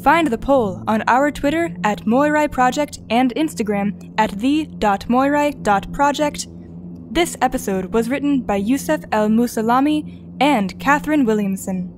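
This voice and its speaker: A woman's voice: high-pitched, soft background music. A woman playing a formal, composed guide who reads scripted announcements and credits in an audio drama. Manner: formal, measured.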